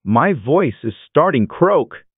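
Man, repeating lesson phrases, animated intonation, dry tinny audio. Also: on the high side, slow pace, slightly rough, dry recording. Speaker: phrase reader in a language lesson. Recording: dry, phone-like narrow band.

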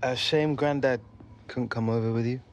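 An actor playing a modest, guarded young British footballer in a naturalistic video game drama. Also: male voice, medium pitch, fairly even intonation, moderate pace, a little hiss or hum, close to an everyday voice. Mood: subdued, hurt. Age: young adult.

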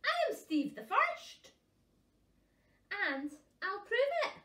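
A woman voicing a boastful, childishly competitive storybook puffin in a loud, emphatic, taunting way. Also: very high-pitched, big pitch swings, slow, pitched above the performer's own voice, heavily acted. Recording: roomy echo, distant microphone.

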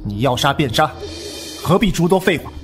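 一名男演员在武侠广播剧中饰演一位沉着忠诚而冷酷的剑客，说话庄重简洁。. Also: male voice, a little high, expressive, over loud music, lightly acted. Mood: defiant. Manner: grave, terse, measured. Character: composed, loyal, ruthless male swordsman. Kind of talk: Chinese wuxia audio drama acting.

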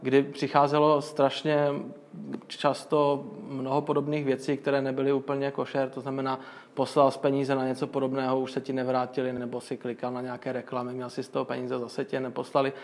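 A man's voice: medium pitch, completely flat delivery. A young man answering interview questions in a casual way, explaining at length with frequent fillers and restarts. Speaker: guest, crypto magazine owner.

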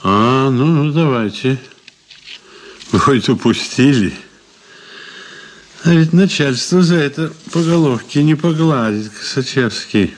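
Casting: mocking, philosophizing male suspect, medium-pitched voice, sly delivery, Soviet radio play. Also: lively intonation, measured pace, long pauses. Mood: sardonic, taunting. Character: male detained suspect, sardonic, philosophizing, taunting. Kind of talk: Soviet radio drama acting.

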